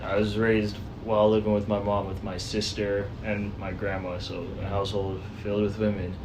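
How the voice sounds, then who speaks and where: Low, flat-voiced man, thoughtful. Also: moderate pace, background noise. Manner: thoughtful, hesitant with "um"s. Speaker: guest. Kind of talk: casual discussion.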